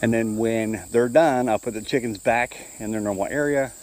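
Easygoing guy filming his farm outside, middle pitch, bit of faint noise. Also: normal speed. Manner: relaxed, explaining while filming. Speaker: homesteader showing his farm. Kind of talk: casual walk-through narration. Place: farmyard outdoors.

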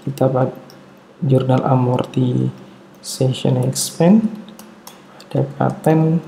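A man with a very dark, muffled voice and a gravelly creak walks through a worked exercise like an instructor. He speaks haltingly and deliberately, thinking aloud. The room adds noticeable echo. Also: medium pitch, fairly even intonation. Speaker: accounting instructor. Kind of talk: step-by-step spreadsheet tutorial narration. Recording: roomy echo, dull muffled tone, faint noise.

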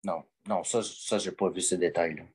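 Husky, hesitant man. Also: low-pitched, steady tone, normal speed, poor audio. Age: late 40s. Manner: hesitant, rambling, many fillers. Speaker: caller. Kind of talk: call-in conversation.

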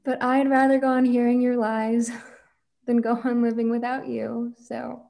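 A woman's voice: a little high, flat delivery, moderate pace, creaky, dark tone. A young woman playing an earnest, defiant girl who dreams of being a cowboy, in a script table read. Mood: wistful, theatrical. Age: young adult. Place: room at home, on video call.

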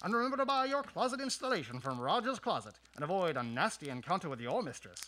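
A man's voice: high-pitched, big pitch swings, fast, bright, forceful tone, full character voice. A man playing a cheery, mock-wholesome, theatrical narrator of a darkly comic Christmas radio tale. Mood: festive, mock-jolly. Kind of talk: radio drama announcer narration.

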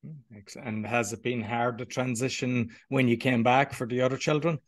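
Homem apresentador de podcast, perguntas soltas. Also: male voice, medium pitch, fairly even intonation, moderate pace. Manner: loose, hesitant questions with "kinda" and "like". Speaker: podcast host. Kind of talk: podcast interview hosting. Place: home office with bookshelves, indoors. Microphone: headset on video call.

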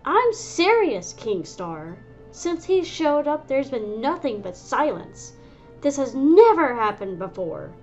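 A woman's voice: on the low side, a little slow, darkish tone, soft background music. Woman doing a sour stubborn young she-cat medicine cat, all heated, muffled lo-fi audio. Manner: agitated, heated.

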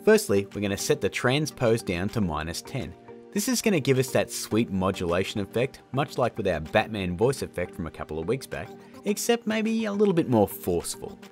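Guy doing a chatty how-to, pitch bouncing around, music behind him. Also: big pitch swings, a little fast. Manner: informal, addresses viewers as guys. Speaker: host of audio effect tutorials. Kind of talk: casual tutorial narration. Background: background music.

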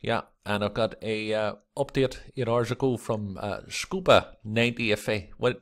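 A man hosting a camera vlog, with a croaky early-morning voice, rambling and reading articles aloud with lots of fillers. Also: on the low side, normal speed. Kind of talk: casual screen-recorded commentary.

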